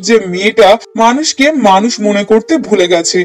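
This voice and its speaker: A man's voice: sits high, normal speed, close to an everyday voice. A man playing a stern, fed-up middle-aged husband and father in a moral-story cartoon, lecturing reproachfully. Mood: stern, exasperated.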